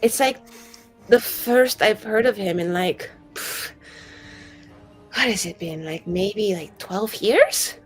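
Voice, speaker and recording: A woman's voice: mid-range voice, animated, slow, pauses often, faint music behind. A woman playing an irreverent elf mage held captive in a tower, rambling in casual, sweary modern slang for a tabletop roleplaying game. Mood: relieved, rambling. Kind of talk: improvised in-character roleplay, casual modern speech.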